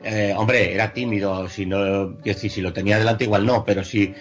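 A man's voice: on the low side, fairly even intonation, brisk, soft background music. A man from Madrid with a Madrid Spanish accent, chatting casually as a radio co-host and repeating his words. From Spain, Madrid.

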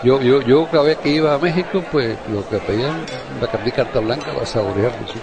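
A man's voice: medium pitch, fast, gravelly, background noise. A man from Cuba with a Cuban accent, chatting casually over drinks and often repeating his phrases. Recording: busy crowd chatter around the voice.

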